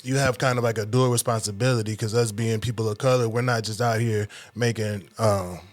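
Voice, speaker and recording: A man co-hosting a podcast, asking measured, thoughtful questions with the odd um. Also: on the low side, steady tone. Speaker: podcast co-host. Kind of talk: casual podcast interviewing. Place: podcast studio.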